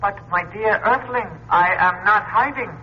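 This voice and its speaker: An actor playing a courteous but imperious disembodied alien in a vintage radio drama, with formal, measured, pedantic diction. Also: male voice, high voice. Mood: coolly courteous. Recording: dull, noisy old radio recording.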